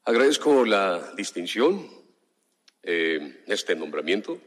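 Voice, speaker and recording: A man's voice: sits low, sing-song. A man in his fifties from southern Mexico, a municipal commissioner giving a formal speech at a press conference. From Juchitán, Oaxaca, Mexico. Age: 50s. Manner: slow, measured, formal address with long pauses. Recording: hall reverb, relayed press conference.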